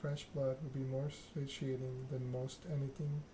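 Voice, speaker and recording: Refined female vampire mentor, read by a man. Game dialogue, courteous, instructive, very even delivery. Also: medium pitch, moderate pace, background noise, close to an everyday voice. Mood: grave, instructive.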